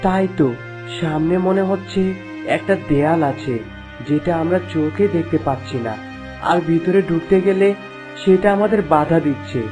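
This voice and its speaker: A woman's voice: low-pitched, moderate pace, natural voice. A woman playing a young, loving, easily bewildered prince in a fairy-tale cartoon, earnest and questioning. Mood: puzzled. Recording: voice under clear background music.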